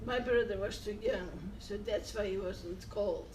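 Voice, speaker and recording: A woman, recalling her past in short, halting phrases during an oral history interview. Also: mid-range voice, noisy background. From Netherlands. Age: 80s. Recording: echoey room, distant from mic.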